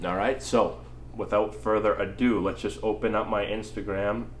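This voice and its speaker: A man's voice: fairly low pitch, steady tone, moderate pace, slight background noise. A man in his thirties with a stuffy cold, a baseball coach casually answering viewer questions and tagging his points with "right?". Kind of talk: casual talking-head Q&A. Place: small indoor room, plain wall. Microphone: camera in front, head and shoulders. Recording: echoey room.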